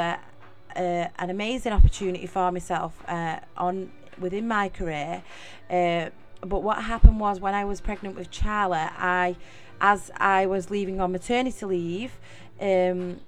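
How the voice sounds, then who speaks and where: A woman's voice: on the low side, a little mellow. Chatty Mancunian woman hosting a podcast. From Manchester, England. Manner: chatty and rambling, with many fillers and restarts. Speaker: podcast host. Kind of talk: casual solo podcast monologue.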